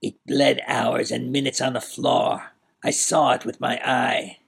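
A man voicing a cold, cruel, raging villain duke in a storybook, theatrical and imperious, with a harsh rasp. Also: medium pitch, a little slow, pitched above the performer's own voice, full character voice. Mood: menacing. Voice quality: raspy, harsh voice. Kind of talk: dramatised storybook narration.

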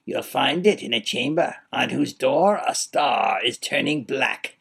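A man voicing a cold, sneering old duke who taunts slowly and menacingly in an audiobook. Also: medium pitch, expressive, creaky, a bit bright, slightly rough, exaggerated voice. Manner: slow, menacing, mocking. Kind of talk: audiobook character voice.

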